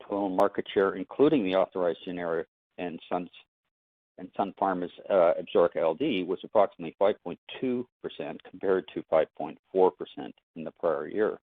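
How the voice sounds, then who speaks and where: A man with a low voice presents a scripted financial review as a finance executive. His delivery is formal and measured, heard over a dry, narrow phone connection. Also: no echo. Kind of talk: scripted financial report on earnings call. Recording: dry, band-limited phone line.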